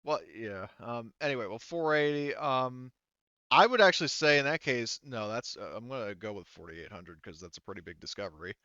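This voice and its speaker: A man's voice: medium pitch, animated, brisk, pushed and bright, clean tone, no echo, lo-fi sound. A man acting as game master in a casual online tabletop session, rambling and thinking aloud as he narrates. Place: online voice call.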